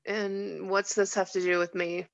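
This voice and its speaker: A woman's voice: mid-range voice, level tone, a little slow, vocal fry, no echo. Woman as a skeptical, blunt woman, dull mic. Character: skeptical, bitter mortal woman, reluctantly chosen. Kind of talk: table read of a film script.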